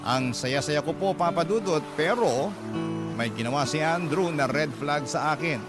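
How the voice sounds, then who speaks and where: Man as heartfelt Filipino storyteller over loud music. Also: fairly high pitch, animated, normal speed, lightly acted. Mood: confiding, wistful. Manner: earnest, confiding. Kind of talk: first-person radio drama narration. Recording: loud music bed behind narration.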